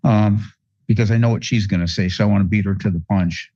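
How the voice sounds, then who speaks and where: A man with a low voice makes a spontaneous courtroom argument as a defense lawyer. He is halting and repeats words, and the sound is dull and lo-fi, though dry. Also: steady tone, mellow, no room sound. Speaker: defense attorney. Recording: dull courtroom audio feed.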